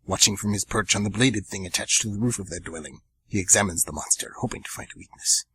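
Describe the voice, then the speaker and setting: A man's voice: fairly low pitch, fast, bright, forceful tone, breathy edge, rough recording. A man narrating an amateur radio drama in a dramatic, ominous storytelling style.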